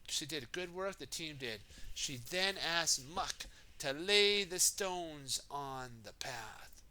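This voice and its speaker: A man with a very bright, forward voice reads a picture book to children. He sits a little high in pitch and takes his time, stepping out of the text for playful asides and repeating words for young listeners. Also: lively intonation, slow. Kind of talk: animated read-aloud with ad-libbed asides.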